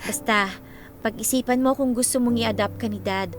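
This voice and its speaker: An actress playing a confident, playful, big-hearted young influencer in a radio drama, with a Filipino accent and a breezy mix of Tagalog and English. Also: female voice, a little high, moderate pace, close to an everyday voice. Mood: tender. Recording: music bed under the voice. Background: music.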